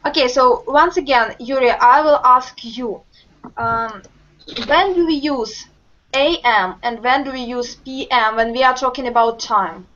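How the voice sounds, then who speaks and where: Slow, high-voiced young woman teacher. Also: a bit bright. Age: young adult. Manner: slow, patient, encouraging questions. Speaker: English teacher. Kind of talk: online group language lesson. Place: home room with bookshelves. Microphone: webcam on a video call. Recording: muffled video call audio.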